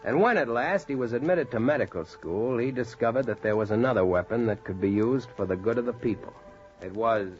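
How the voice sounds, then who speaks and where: An American actor playing a tough, reassuring army medic, folksy and slangy, in 1940s radio drama style. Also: male voice, mid-range voice, fairly quick, faint music behind, lightly acted. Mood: earnest storytelling. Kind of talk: 1940s radio acting and storytelling. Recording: muffled 1940s radio recording.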